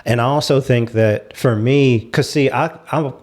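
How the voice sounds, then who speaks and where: Male panelist, medium-pitched voice, frank and explanatory, casual conversational delivery. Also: a little slow, a little mellow.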